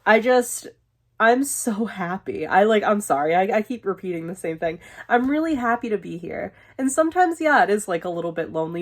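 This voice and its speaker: A young woman vlogging casually from her bedroom, rambling with lots of fillers and swearing, sniffly and losing her voice. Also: mid-range voice. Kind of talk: casual chat to camera. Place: bedroom, window open.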